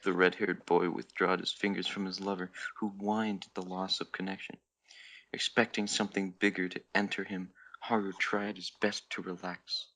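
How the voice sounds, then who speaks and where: A man's voice: deep voice, flat delivery, normal speed, a bit bright, rough recording. A man narrating fanfiction on a podcast in a theatrical, comic dramatic reading, stumbling over the odd word. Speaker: podcast member reading fan fiction.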